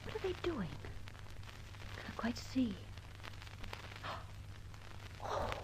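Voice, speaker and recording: An actress playing an eager, curious young sidekick in an old-time radio detective serial. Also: female voice, high-pitched, sing-song, drawn-out words, heavily acted. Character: plucky, curious young female sidekick to a gentleman sleuth. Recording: noisy old radio recording.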